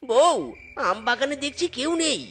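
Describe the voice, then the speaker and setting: A woman's voice: high voice, expressive, moderate pace. A woman voicing a whiny, gullible male fox husband in a cartoon, with exaggerated exclamations. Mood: eager. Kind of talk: cartoon voice acting. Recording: cartoon music behind voice.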